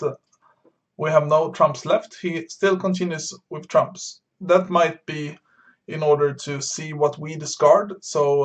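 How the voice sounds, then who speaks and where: Hesitant man explaining his bridge play. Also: fairly high pitch. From Sweden. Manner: thinking aloud, hesitant with frequent fillers. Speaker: bridge streamer teaching beginners. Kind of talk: live-stream commentary explaining card play.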